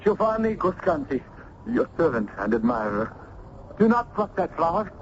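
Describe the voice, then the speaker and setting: An actor playing an earnest, smitten young student in a radio drama, speaking formally and ardently with florid diction. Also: male voice, a little high, big pitch swings, moderate pace. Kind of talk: old-time radio drama acting. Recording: dull, noisy old radio recording.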